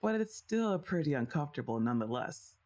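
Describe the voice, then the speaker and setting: Teasing young woman with a lively voice. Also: deep voice, a little fast. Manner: teasing, playfully bossy, sighs and soft laughs. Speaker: ASMR roleplay performer. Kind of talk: scripted ASMR roleplay, speaking to the listener.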